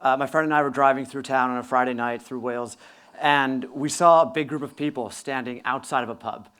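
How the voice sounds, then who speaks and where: A man from northern Italy speaks on a conference stage through a stage mic. He tells his story fluently and earnestly, in a steady mid-pitched voice. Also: a little fast, clean tone. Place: conference stage before an audience.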